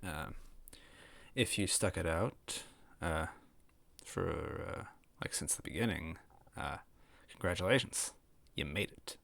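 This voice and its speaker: A man's voice: low voice, lively intonation, takes it slowly, gravelly, pauses often, a bit bright, no echo. A man hosting a story read-aloud series, talking casually and narrating in the first person. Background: background music.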